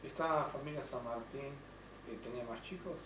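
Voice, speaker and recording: Male interviewer, gentle prompting questions, distant from the mic, audible background noise. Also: medium pitch, normal speed, roomy sound. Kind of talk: oral history interview.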